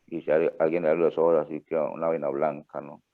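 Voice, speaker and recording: A man teaching a spiritual class in Spanish, explaining slowly and tagging his phrases with rhetorical questions. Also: a bass voice, flat delivery, gravelly. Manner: slow, reflective, rhetorical "¿no?" after each phrase. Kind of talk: online class lecture. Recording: phone-like narrow audio.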